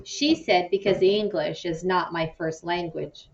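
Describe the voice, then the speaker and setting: A woman's voice: lowish voice, fairly even intonation, measured pace, recorded from a distance. An earnest, determined schoolgirl from a children's storybook, voiced by a woman reader. The voice sits a little back from the microphone and sounds slightly muffled. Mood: confiding.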